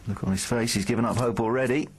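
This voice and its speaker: A man commentating on a poker final in a measured, wry way. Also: medium pitch, steady tone, a little hiss or hum, poor audio. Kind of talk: live commentary.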